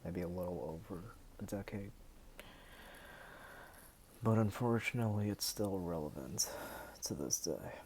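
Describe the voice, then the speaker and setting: A young man in his twenties from the United States, talking hesitantly and softly to the camera at home late at night. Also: sits low, slight background noise. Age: 20s. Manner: hesitant, halting and soft-spoken. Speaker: vlogger. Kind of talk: personal talk to camera.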